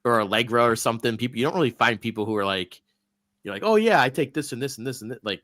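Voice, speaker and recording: A man's voice: mid-range voice, animated, fast, a bit bright, dry recording, studio quality. A man hosting a casual sports podcast, stuffy and nasal from allergies, talking in a loose, rambling way. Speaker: podcast host.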